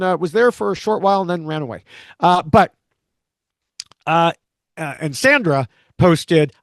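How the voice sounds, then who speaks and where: A man's voice: fairly high pitch, no room sound, clean studio sound. A man hosting a podcast, recapping episodes and chatting with a co-host. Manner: lively storyteller, laughs often.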